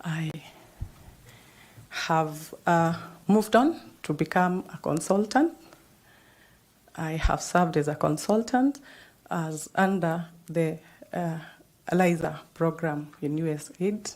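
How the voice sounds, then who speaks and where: A Kenyan woman in her fifties with a Kenyan accent, answering questions in a formal interview with measured pauses and fillers. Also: deep voice, a little mellow. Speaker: job candidate.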